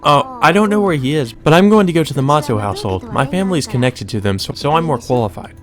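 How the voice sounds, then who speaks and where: A man voicing a confident, sharp-tongued teenage girl mage from a visual novel, brisk and teasing. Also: on the high side, lively intonation, soft background music, pitched above the performer's own voice, natural voice. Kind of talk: visual novel character read-aloud.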